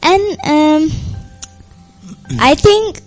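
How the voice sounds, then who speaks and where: A woman with as her interviews in slow, halting English. Her voice is very high. Faint music plays behind her. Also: rough recording. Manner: hesitant, careful English. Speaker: radio interviewer. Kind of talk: interview questions.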